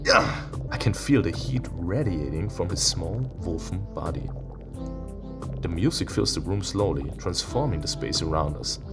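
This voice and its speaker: A man playing a visual novel, reading its text aloud and breaking into excitable, playful reactions. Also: deep voice, a little slow. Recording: music behind the voice. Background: game music with plucked guitar.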